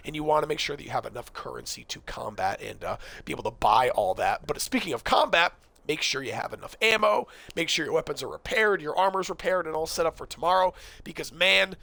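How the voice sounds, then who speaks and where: A man's voice: fairly high pitch, vocal fry, bright, forceful tone. Chatty young man giving game tips. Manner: chatty, addresses viewers directly, frequent fillers. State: losing his voice. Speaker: gaming video host. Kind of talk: casual game-tips commentary.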